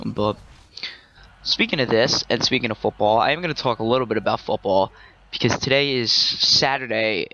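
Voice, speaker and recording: A man's voice: medium pitch, moderate pace, pushed and bright, muffled recording. A man giving casual commentary over his own gameplay, rambling and often correcting himself. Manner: rambling, with frequent self-corrections. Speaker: gaming channel host.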